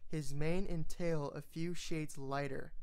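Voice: mid-range voice, steady tone, slow pace, darkish tone, smooth. A narrator reading a scripted fantasy story aloud in the manner of an audiobook. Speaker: fan fiction reader.

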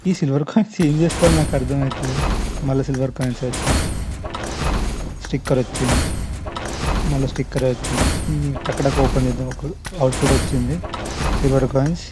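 A man's voice: mid-range voice, fairly even intonation, quick pace, long pauses. A man with a Telangana Telugu accent giving casual, chatty gameplay commentary to his viewers, speaking informally and directly. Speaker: gaming video host. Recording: faint background noise, clicking sounds.

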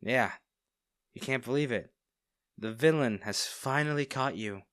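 A man acting as a smitten villain in an intimate audio roleplay, speaking directly to the listener with theatrical pauses. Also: mid-range voice, a bit bright, no room sound, clean studio sound, natural voice. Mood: teasing, smug. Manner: teasing, theatrical, pausing, addressing the listener intimately. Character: male villain, teasing, smitten captor of a hero.

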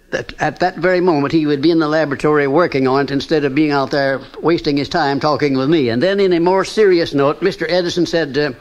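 A man giving an anecdotal lecture, telling it in a measured, slightly hesitant way on a dull old recording. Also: on the high side. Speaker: author and lecturer.

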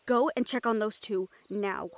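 A woman's voice: medium pitch, unhurried, no echo. A woman voice-acting a tough young fighter in a fantasy action audio roleplay, with dramatic, sweary banter.

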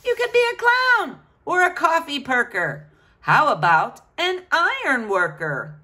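Female storyteller for young children, higher-pitched, hugely varied sing-song intonation. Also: huge pitch swings, a little slow, sharp tone. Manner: addresses children directly, sing-song questioning delivery. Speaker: storyteller reading a picture book to children. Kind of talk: children's picture-book read-aloud.

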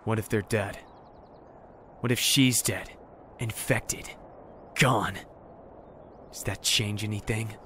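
A man's voice: lowish voice, steady tone, takes it slowly, long pauses, fairly bright tone, a little hiss or hum. A man playing a jaded, grieving survivor in a zombie fan drama, talking in a curt, weary, sardonic manner. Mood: bitter, subdued. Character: jaded, blunt, grieving male survivor, bitter but loyal. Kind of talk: dramatic audio visual-novel fan drama.

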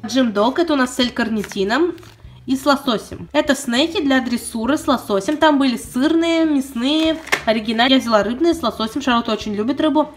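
Young woman, sore throat, high voice, talks fast reviewing pet shop haul, faint music under. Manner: chatty, detailed, apologetic asides. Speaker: pet vlogger. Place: indoors at home.

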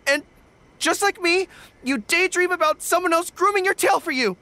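A woman's voice: very high-pitched, moderate pace, pushed and bright, dry recording. A woman voicing an earnest, easily flustered young dog-eared warrior boy in an anime game, speaking dramatically. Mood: bold, strained.

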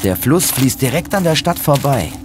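Actor as highly animated ghost hunter's sidekick. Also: male voice, moderate pace, close to an everyday voice. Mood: alert, curious. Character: alert, practical male sidekick of a ghost hunter. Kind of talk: audio drama dialogue. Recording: loud ambient sound effects behind voice. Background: loud ambience noise.

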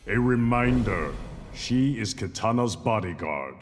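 A regal warrior of a fighting game with a very low voice. He is formal and stern, and his words ring out in long reverb over loud noise. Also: animated. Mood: stern, dignified. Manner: formal, measured. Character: noble, stern, regal male warrior king. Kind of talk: fighting game character dialogue.

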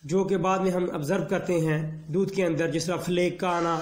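A man, a veterinarian giving a measured instructional lecture that mixes with English technical terms. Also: high-pitched, fairly even intonation, reverberant, a little hiss or hum. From Pakistan. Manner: slow, measured explanation mixing Urdu and English terms. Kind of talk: instructional lecture on livestock disease.

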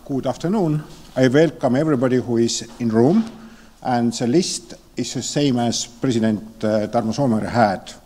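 Estonian-native man speaking in an echoing hall. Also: medium pitch. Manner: relaxed, joking, slightly halting. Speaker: member of parliament, panel speaker. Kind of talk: conference talk. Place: conference hall. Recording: hall reverb in a large venue.

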